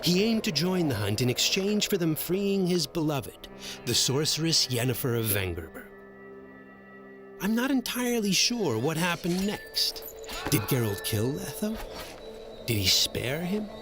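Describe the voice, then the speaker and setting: An actor playing a wry, playful storyteller who narrates a fantasy game saga in scripted style, with teasing asides to the listener. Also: male voice, on the high side, big pitch swings, a little slow, over music. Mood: wry, theatrical. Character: wry male storyteller recounting a fantasy saga as the hero's buddy.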